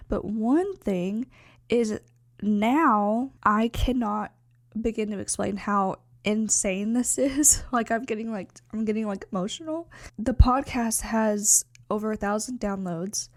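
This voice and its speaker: A woman's voice: medium pitch, darkish tone, no room sound, clean studio sound. A young woman hosting a true crime podcast, stuffy and congested from a cold, talking in a chatty way with lots of fillers. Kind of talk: casual chatty podcast talk. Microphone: sitting to film, close mic.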